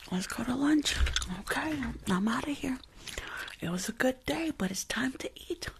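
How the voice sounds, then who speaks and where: A young woman playing a rude, weary school nurse in an ASMR roleplay, grumbling in a breathy whisper close to the mic. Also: high voice, animated, normal speed, background noise. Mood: eager. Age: young adult. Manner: sassy, grumbling, rambling. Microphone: close foam-covered microphone on desk.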